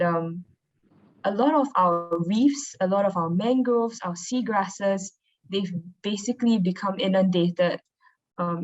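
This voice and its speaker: Low-pitched young woman, dull audio. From Singapore. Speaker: marine biologist presenting. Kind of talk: informal online presentation. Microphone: video call. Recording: dull, lo-fi online call audio.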